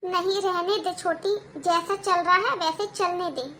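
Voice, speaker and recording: A woman's voice: very high-pitched, steady tone, a little slow, a bit bright, slight background noise. A woman voicing a resigned, self-effacing elder sister in a cartoon moral story, speaking in a placating way.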